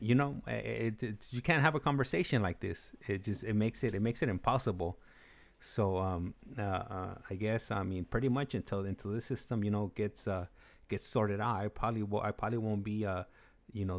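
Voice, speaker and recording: A man hosting a casual livestream, talking haltingly and repeating himself, with many "you knows". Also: fairly low pitch, gravelly. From United States, Los Angeles area. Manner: halting, repetitive, peppered with "you know". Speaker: livestream host.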